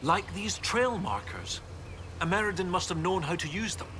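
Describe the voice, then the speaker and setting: An actor playing an excitable, erudite professor of history in a video game, thinking aloud and questioning himself. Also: male voice, high-pitched, normal speed, background noise. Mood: excited discovery. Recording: long hall reverb, game music behind.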